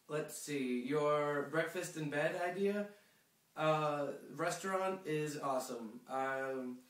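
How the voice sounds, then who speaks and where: A sniffly young man rambles along casually, full of ums and restarts. His voice sits a little back from the mic in a roomy, echoing space. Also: medium pitch. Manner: rambling, chatty, many fillers and restarts. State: sniffly, coming down with a cold. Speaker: pen pal video blogger. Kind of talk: casual video letter to a friend. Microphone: phone camera. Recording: echoey room, a bit far from the mic.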